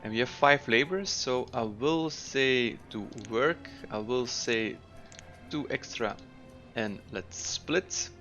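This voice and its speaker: A man's voice: mid-range voice, bright, forceful tone, dull audio, soft background music. Man musing through gameplay. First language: Dutch. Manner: thinking aloud, unhurried, frequent fillers. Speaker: gameplay commentator. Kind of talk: casual let's-play commentary.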